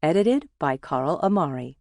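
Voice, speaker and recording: A woman's voice: low voice, sing-song, moderate pace, vocal fry, darkish tone, dry recording, clean studio sound. A woman reading a scripted title announcement for an audiobook.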